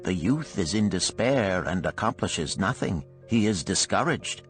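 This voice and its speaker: A man's voice: low voice, big pitch swings, unhurried, soft background music, exaggerated voice. A man voicing a shrewd older gold lender in a storybook, speaking in an archaic, emphatic, declamatory way. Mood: earnest counsel.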